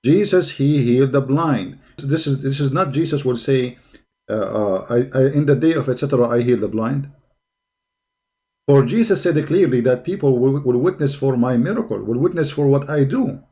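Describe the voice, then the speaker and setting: A man's voice: medium pitch, mellow. Combative man. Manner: combative, mocking, repeats phrases and asks rhetorical questions. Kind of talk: spontaneous polemical commentary.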